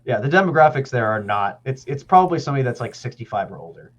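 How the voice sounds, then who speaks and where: A man co-hosts a podcast with a lively, swinging pitch, cracking jokes and swearing freely. His audio has a dull, lo-fi tone. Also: fast. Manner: animated, joking, profane. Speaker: podcast co-host. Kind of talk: casual chat. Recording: dull lo-fi sound.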